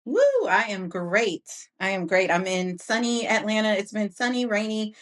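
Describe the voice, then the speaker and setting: A woman's voice: mid-range voice, fairly even intonation, normal speed, a bit bright. A woman chatting casually as a guest seeking advice, informal and peppering her talk with "like". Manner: informal, frequent "like". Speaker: guest voice actor asking for advice. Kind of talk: casual chat.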